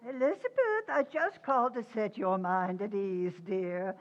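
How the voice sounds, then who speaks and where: A woman's voice: medium pitch, unhurried, highs cut off, natural voice. A woman playing a meddling, self-satisfied older mother-in-law in old-time radio comedy, condescending and sing-song. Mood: smug, martyred. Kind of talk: 1940s radio comedy acting.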